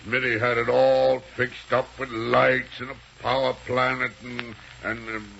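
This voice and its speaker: An American actor playing a dim, reluctant former air pirate who stammers through rough grammar in a 1930s radio serial. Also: male voice, medium pitch, flat delivery, a little slow, pushed and bright, heavily acted. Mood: slow-witted, unsure. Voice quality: gravelly. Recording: muffled, noisy 1930s radio recording.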